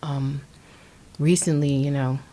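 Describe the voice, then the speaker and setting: A young American woman in her twenties with a low-pitched voice speaks casually on a podcast. She is hesitant and often searches for the right word as she talks about herself. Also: flat delivery, slow pace, dark tone, a little hiss or hum. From Indianapolis, USA. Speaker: podcast guest, young music artist. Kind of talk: casual conversational podcast introduction.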